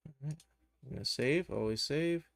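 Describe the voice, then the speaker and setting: A man giving a casual live software tutorial, thinking aloud and muttering to himself as he works. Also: mid-range voice, normal speed, vocal fry, no room sound, studio quality. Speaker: streamer, animation tutor.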